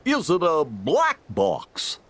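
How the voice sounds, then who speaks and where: A man voicing a goofy, gentle, lanky dog sidekick in a cartoon-styled video game, with a husky voice. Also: fairly high pitch, wildly swooping pitch, takes it slowly, exaggerated voice. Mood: curious.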